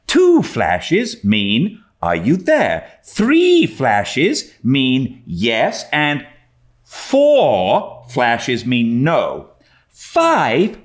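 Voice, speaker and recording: A man voicing an excitable, talkative young girl who pleads effusively in a storybook reading. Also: a little high, voice pushed up, exaggerated voice. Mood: eager explaining. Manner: effusive, dramatic, breathless pleading.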